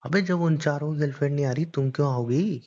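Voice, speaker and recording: A man's voice: fairly high pitch, fast, a little mellow, close to an everyday voice. A man playing a stubborn, teasing young boyfriend in a romantic phone-call roleplay, argumentative and coaxing in casual conversation. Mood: exasperated, coaxing. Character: stubborn, teasing young boyfriend defending his boys' trip. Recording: dull lo-fi phone call recording.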